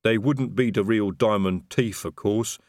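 A man voicing a large male troll guard who speaks plainly and calmly. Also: lowish voice, a little slow, dry recording. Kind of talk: audiobook character voice.

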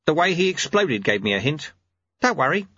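A man's voice: on the high side, sing-song, normal speed, bright, forceful tone, slightly rough, no echo, dull audio, voice pushed up, full character voice. A man voicing a wily, cheerful old sweeper who coaxes and teases in colloquial speech, as an audiobook character. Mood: chatty, reassuring. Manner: colloquial, chirpy, coaxing.

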